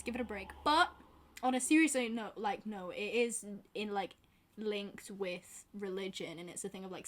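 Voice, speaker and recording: A young woman from Scotland with a softened Glaswegian accent, chatting casually and rambling through a book vlog. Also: mid-range voice. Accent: softened Glaswegian Scottish. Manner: chatty, rambling, self-deprecating, many fillers. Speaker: book vlogger. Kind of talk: casual chat to camera.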